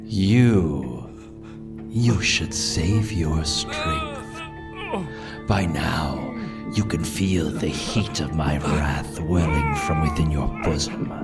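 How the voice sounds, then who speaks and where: A man's voice: very deep voice, very slow, exaggerated voice. A man playing the Red Death, a merciless masked spirit of plague, speaking in solemn, ominous pronouncements in a gothic audio drama. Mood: grave, ominous. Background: dramatic music.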